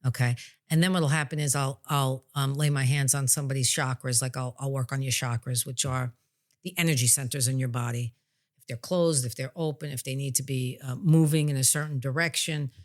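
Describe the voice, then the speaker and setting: A plain-spoken woman talking casually as a psychic medium and podcast host, explaining her work in an informal way. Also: extremely low pitch. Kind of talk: casual conversational explanation of spiritual healing work.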